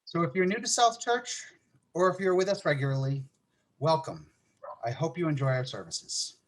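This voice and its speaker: A man giving a welcome as a worship associate at a church service, a little halting over names and words. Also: on the high side, lively intonation, low-quality recording.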